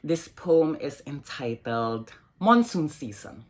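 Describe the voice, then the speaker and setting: Low-voiced woman reading poetry, slightly husky. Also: very animated, slow pace. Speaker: poet reading own poems.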